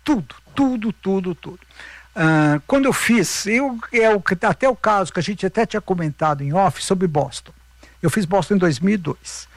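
A man's voice: high-pitched, animated, normal speed, no room sound. A middle-aged man with a Brazilian accent telling anecdotes in a casual interview, emphatic and repeating himself. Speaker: interview guest, veteran marathon runner.

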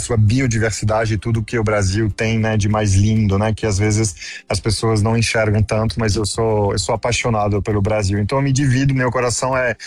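Middle-aged man, enthusiastic guest. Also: fairly low pitch. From France. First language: French. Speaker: interview guest working in gastronomy. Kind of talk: conversational interview answers.

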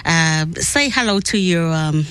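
A woman hosting a radio interview who speaks in a friendly way, often pausing on "uh" and "um". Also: sits low, lively intonation, a bit bright, clear, resonant tone, dry recording. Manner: friendly, hesitant phrasing with "uh" and "um". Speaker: radio host.

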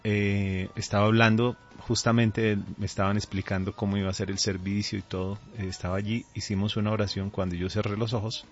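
A middle-aged man with a Colombian accent, speaking as a pastor in a radio interview in a deliberate, earnest way. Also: on the low side, level tone. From Colombia, raised there from age two. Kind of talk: radio interview, conversational testimony. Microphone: studio microphone. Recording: dull, band-limited radio audio.

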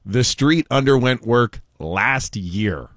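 A man's voice: mid-range voice, animated, takes it slowly, fairly bright tone, no echo, studio quality. A man co-hosting a talk show, retelling offbeat news in a casual, jokey way. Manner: chatty, jokey storyteller. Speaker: talk show co-host telling news stories.

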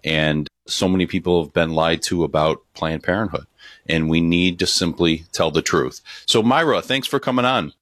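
A man's voice: low-pitched, normal speed, fairly bright tone, dry recording, clean studio sound. A man hosting a podcast interview, upbeat and earnest as he welcomes listeners and introduces his guest. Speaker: podcast co-host. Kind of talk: hosted interview.

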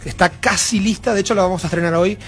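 A man's voice: high voice, fast talker, fairly bright tone. A Uruguayan man with a Uruguayan Spanish accent, a band member chatting casually in an interview backstage, rambling with many fillers and restarts. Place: backstage at a music venue.

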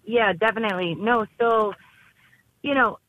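A woman attorney joining a talk show remotely, speaking in a brisk, emphatic way. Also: fairly high pitch, dry recording, phone-quality sound. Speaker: personal injury attorney. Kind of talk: call-in guest commentary. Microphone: video call.

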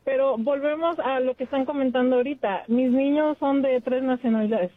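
Mexican woman caller, high voice, tinny phone line, chatty. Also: brisk, no echo. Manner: talkative, storytelling. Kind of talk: phone-in chat.

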